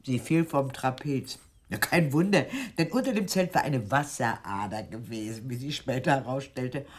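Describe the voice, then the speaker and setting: An actress playing a chatty, eccentric older aunt in a German radio play, gushing, theatrical and breezily insistent. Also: female voice, very deep voice, normal speed, a little mellow, slightly rough. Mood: wistful, chatty.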